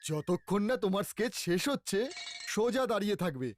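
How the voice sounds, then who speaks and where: Flustered old cartoon grandpa. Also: male voice, sits high, expressive, fast. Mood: fussy. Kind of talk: cartoon voice acting. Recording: music behind the voice.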